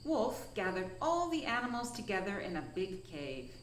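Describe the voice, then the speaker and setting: A woman storyteller reading a children's picture book expressively, with dramatic pauses and emphasis. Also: mid-range voice, sing-song, reverberant, recorded from a distance, a little hiss or hum. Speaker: storyteller from a science museum.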